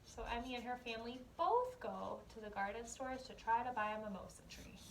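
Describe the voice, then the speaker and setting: Female, kindergarten teacher, high-ish voice, instructional question-and-answer read-aloud style, slight noise on the recording. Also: expressive, fairly quick, rough recording. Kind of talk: read-aloud lesson with questions for young children.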